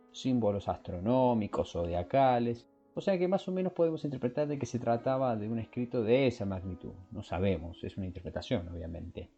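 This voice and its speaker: A man hosting a podcast, explaining things informally and often pausing to search for his words. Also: medium pitch, warm tone, highs cut off. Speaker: podcast host. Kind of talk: scripted intro and informal explanatory talk.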